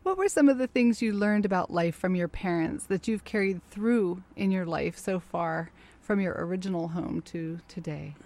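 A woman conducting an interview, asking warm, encouraging questions and echoing the answers back to her guest. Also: fairly low pitch, moderate pace, hardly any pauses. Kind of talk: conversational interview.

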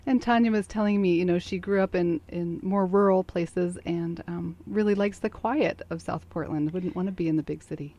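A woman's voice: on the low side, normal speed, few pauses, mellow. A woman hosting a community interview show, asking friendly, encouraging questions. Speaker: host.

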